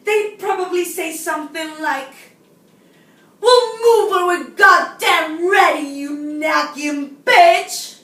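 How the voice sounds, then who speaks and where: A seventeen-year-old woman from Nicaragua playing a frustrated, self-absorbed, unhinged woman in a dramatic, confiding stage monologue that swings between hushed and shouted, recorded in a roomy space at a distance. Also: high-pitched, sing-song, slow, pauses often, heavily acted. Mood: anguished, indignant. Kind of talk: theatrical audition-style stage monologue. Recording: roomy, distant mic, faint noise.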